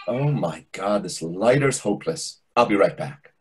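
An actor playing a pompous, needling waiter with a husky voice, theatrical and condescending in a readers theatre comedy. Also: male voice, on the low side, animated, normal speed, slight background noise, natural voice. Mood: bossy, teasing. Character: pompous, needling, over-familiar Greek restaurant waiter. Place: indoors on a video call. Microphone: video call microphone.

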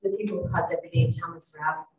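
Echoey, distant audio of an older woman with a notably low voice. She asks formal questions as a prosecutor, measured and procedural. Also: fairly even intonation. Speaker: prosecutor examining a witness. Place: courtroom, heard over video call. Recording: echoey courtroom, distant mic, faint noise.

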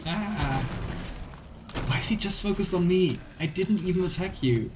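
A man's voice: sits high, expressive, unhurried, noisy background. A man in his thirties giving casual, chatty let's play commentary with a wry, mock-complaining manner. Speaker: game streamer. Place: small room indoors. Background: game music.

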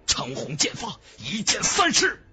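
A man voicing combative, haughty martial-arts swordsmen in a fantasy audiobook, with a forceful, declamatory delivery. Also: sits high, huge pitch swings, a little slow, faint music behind, full character voice. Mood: battle-ready, fierce. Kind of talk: audiobook character acting.

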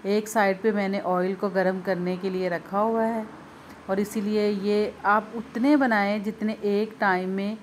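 A woman's voice: medium pitch, level tone, unhurried, a little hiss or hum. A woman demonstrating a recipe step by step in her home kitchen, speaking patiently to viewers and repeating her instructions. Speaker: home cooking video host.

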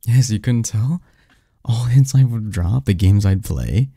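Man acting a dark, husky lovestruck young man. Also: lowish voice, no room sound, studio quality. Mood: fond, confessing. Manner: halting, breathy, murmured confession with stammers. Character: lovestruck young male research assistant confessing to his best friend. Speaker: audio roleplay performer. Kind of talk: intimate ASMR romance roleplay.

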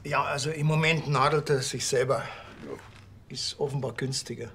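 A man's voice: medium pitch, fairly even intonation, moderate pace, fairly bright tone, a little hiss or hum, lightly acted. A man playing a grumbling, wry patrol policeman with a dry, laconic manner in a TV crime drama.

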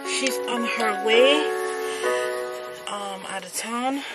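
A relaxed Guyanese woman in her forties talks slowly and easily to a handheld phone camera. Her voice sits a little high, and loud background music runs under the recording. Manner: relaxed, unhurried, chatty. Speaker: vlogger. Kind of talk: casual vlog chat to camera. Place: car and home kitchen. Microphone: handheld phone camera at arm's length.

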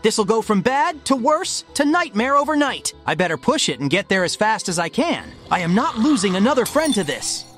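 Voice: mid-range voice, very animated, normal speed, few pauses, fairly bright tone, faint music behind. A performer voicing a cocky but caring young hedgehog hero in a comic dub, casual, slangy and confident. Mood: determined.

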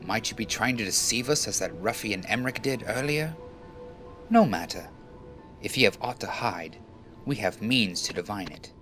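A man acts a stern, haughty naval officer in a fantasy game fan dub. His mid-pitched voice moves widely in intonation. He sounds formal and suspicious, with music behind him. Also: animated, moderate pace, fairly bright tone. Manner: formal, condescending.

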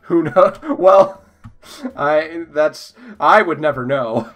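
A man's voice: fairly high pitch, slow, fairly bright tone. A young man chatting casually as a podcast guest, joking and giggly as he draws. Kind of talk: casual chat while drawing.

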